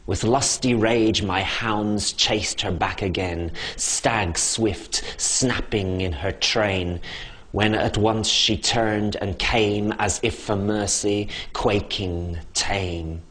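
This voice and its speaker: A man reciting a rhymed poem in a measured, rhythmic reading. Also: on the low side, steady tone, fairly bright tone, low-quality recording. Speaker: poetry reader. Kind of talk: poetry recitation.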